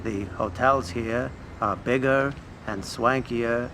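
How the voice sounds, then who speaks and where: An actor playing a shrewd elderly male crime boss, making measured speeches in a video game. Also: medium pitch, steady tone, sharp tone, a little hiss or hum. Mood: proud, stately. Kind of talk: video game cutscene acting.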